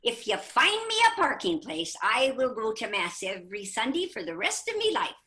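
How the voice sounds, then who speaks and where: A desperate Irish man loudly begs heaven for help in a high, swooping voice, as a woman reads him out. The sound is bright and lively. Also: moderate pace, heavily acted. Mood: pleading.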